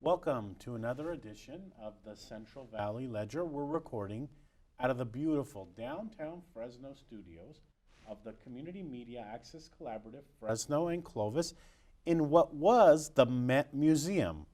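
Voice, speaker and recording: Male radio host, mid-pitched, friendly and chatty interviewer. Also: expressive, normal speed, warm tone. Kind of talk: public affairs interview. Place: radio studio.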